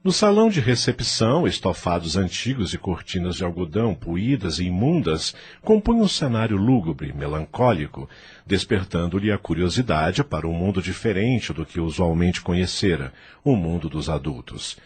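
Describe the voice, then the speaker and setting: Male narrator, Brazilian accent, low register, animated dramatic delivery for scripted audio-novel storytelling. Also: moderate pace, breathy edge. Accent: Brazilian Portuguese. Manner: theatrical, literary storytelling.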